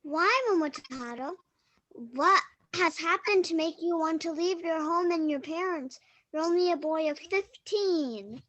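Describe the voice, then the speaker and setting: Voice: very high voice, unhurried, a bit bright, no echo, poor audio. A performer playing a loving, worried parent in an amateur dramatic folktale reading.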